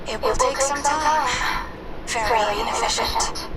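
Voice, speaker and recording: A woman's voice: high voice, takes it slowly, over loud music. A woman playing a composed, efficient hacker in a video game, precise and formal, with game ambience behind her. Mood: calm, businesslike. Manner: precise, matter-of-fact, formal. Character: composed, efficient female hacker guiding her teammates remotely.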